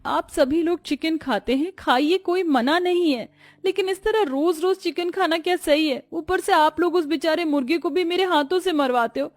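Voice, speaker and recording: Woman doing young indian bahu in a cartoon, high voice, upset and plaintive, very dry sound. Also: normal speed, dry recording. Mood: reproachful. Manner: plaintive, lamenting. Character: distressed young Indian daughter-in-law, tender-hearted and plaintive. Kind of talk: cartoon story dialogue.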